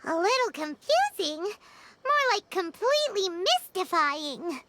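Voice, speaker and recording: A woman's voice: very animated, slow, music in the background, heavily acted. A woman voicing a tiny, chirpy, nosy fairy-like companion in a game who refers to herself in the third person. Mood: curious. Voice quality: squeaky, childlike. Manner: talks about herself in third person, blurts reactions. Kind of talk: game cutscene voice acting.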